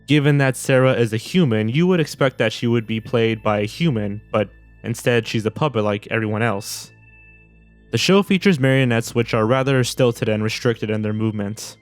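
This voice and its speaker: A man's voice: medium pitch, normal speed, no room sound. A man reading scripted narration as a video narrator. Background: background music.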